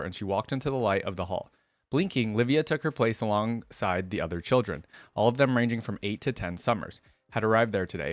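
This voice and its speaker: A man's voice: lowish voice, dry recording. A man hosting a reaction video, moving between informal, rambling commentary and reading text aloud. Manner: informal, rambling, thinking out loud.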